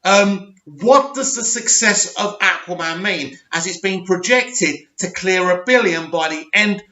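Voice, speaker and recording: A man hosting a show, giving blunt, emphatic opinions in a slightly croaky voice. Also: high voice, a little slow, sharp tone. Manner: blunt, emphatic, repeats words for stress. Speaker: host. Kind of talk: opinionated commentary monologue. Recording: roomy, dull lo-fi sound.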